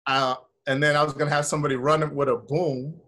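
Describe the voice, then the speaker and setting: An American man in his thirties chatting casually on a video call, telling stories in a slangy, rambling, animated way. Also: on the high side, brisk. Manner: animated, slangy, rambling with frequent "you know" and "like". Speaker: actor being interviewed. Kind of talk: casual chat, storytelling. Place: indoor room, video call.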